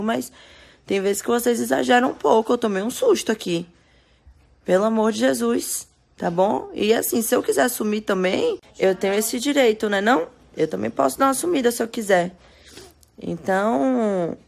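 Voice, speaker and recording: A young woman talking casually to her fans in selfie phone clips, chatty and direct, soft-spoken and firm when setting limits, often checking in with "tá bom?". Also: medium pitch, vocal fry. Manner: chatty, addresses fans as "gente", repeats "tá bom?". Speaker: dancer and influencer talking to fans. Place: bedroom and car, indoors. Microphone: phone at arm's length.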